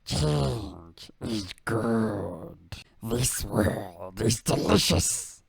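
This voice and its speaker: A man voicing a hungry, menacing video game monster in a gravelly, raspy voice. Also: on the low side, animated, very slow, crowd noise, exaggerated voice. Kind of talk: video game character impression.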